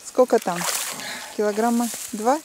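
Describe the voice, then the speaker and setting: A woman on a fishing trip, talking casually and exclaiming excitedly over the catch outdoors by a lake. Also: a little high, measured pace. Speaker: fishing companion. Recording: wind and water noise outdoors.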